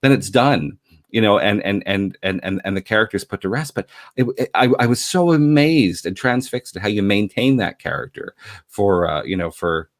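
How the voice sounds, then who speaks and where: A man from Canada with a low voice talks casually and at length. He is effusive and rambling, often doubling back on his words. The sound is dry, with very little room. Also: expressive, normal speed, dry recording. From Canada, grew up in Montreal. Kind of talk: casual conversational chat.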